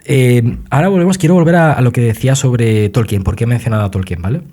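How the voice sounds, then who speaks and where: A man hosting a casual conversation, teasing his co-host with quick interjections and frequent laughs. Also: mid-range voice, dark tone. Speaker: podcast host.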